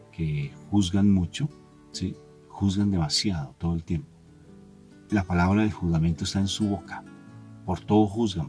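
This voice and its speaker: A man preaching conversationally to the listener, repeating phrases for emphasis and putting questions directly. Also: low voice. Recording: soft guitar music behind the voice. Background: guitar music.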